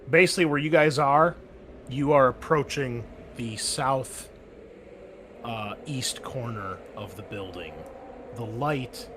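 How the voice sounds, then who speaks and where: A man acting as host and game master of a tabletop roleplaying game, explaining the scene in a relaxed way with many fillers. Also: medium pitch, background noise. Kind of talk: running a tabletop game session.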